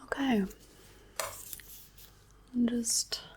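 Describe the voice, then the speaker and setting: A woman performs a soft-spoken ASMR medical roleplay at a very slow, unhurried pace. Her breathy voice often drops into a whisper as she gently reassures the listener. The microphone is very close, with faint noise behind her. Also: on the high side, steady tone. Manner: gentle, reassuring, unhurried, softly checking with okay.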